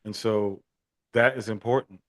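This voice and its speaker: Low-voiced earnest man, dry close sound. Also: steady tone, a little slow, a bit bright, no room sound. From Miami, Florida. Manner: earnest, repeats words when starting sentences. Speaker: podcast guest, equine therapy expert. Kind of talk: casual interview talk.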